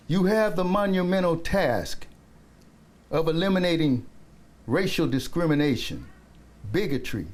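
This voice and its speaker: Slow, oratorical man, slightly high voice. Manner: measured, oratorical. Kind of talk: formal commencement-style address.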